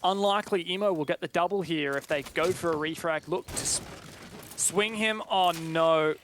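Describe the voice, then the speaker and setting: A man's voice: on the high side, normal speed, fairly bright tone, slight background noise. A man commentating live on an esports match, with dramatic, wordy phrasing. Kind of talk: live play-by-play game commentary.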